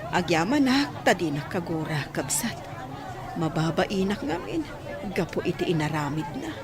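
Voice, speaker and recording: A woman's voice: fairly low pitch, very animated, moderate pace, a crowd in the background, background noise. A woman acting an apologetic, ashamed middle-aged mother in an Ilocano radio drama.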